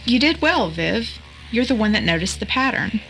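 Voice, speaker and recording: A woman's voice: on the high side, big pitch swings, moderate pace, vocal fry, fairly bright tone, soft background music, full character voice, low-quality recording. A woman playing a curious, tech-savvy young computer sleuth in an audio drama, explaining things conversationally. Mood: excited, explanatory.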